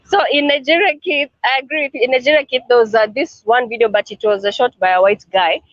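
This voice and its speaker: A man's voice: very high voice, fast, fairly bright tone, dry recording, muffled recording. Hesitant man on a city street. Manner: hesitant, full of fillers. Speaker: guide showing city streets. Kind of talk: casual chat on a call.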